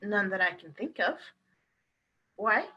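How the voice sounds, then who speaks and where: An actress in a radio play playing a loyal, spirited and protective London wife, in a theatrical style. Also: female voice, medium pitch, animated, slow pace, slightly rough, muffled recording. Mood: affectionate, brisk.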